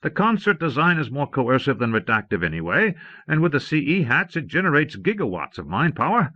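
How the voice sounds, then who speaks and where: A man's voice: medium pitch, big pitch swings, a little fast, pushed and bright, no echo, voice pushed up. A man reading an audiobook, voicing a haggard, self-doubting man who argues in an agitated, pleading, insistent way. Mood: agitated, stubborn. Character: haggard, anxious, self-doubting man refusing his brother. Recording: muffled old cassette audiobook transfer.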